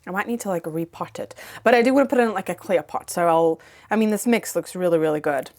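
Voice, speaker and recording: A woman with a slightly low voice chats casually to her viewers, rambling and restarting her sentences, filling gaps as she goes. English is not her first language, and she talks from home. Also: quick pace, darkish tone. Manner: chatty, rambling, with many fillers. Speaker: plant vlogger. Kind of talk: casual chat. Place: home, indoors.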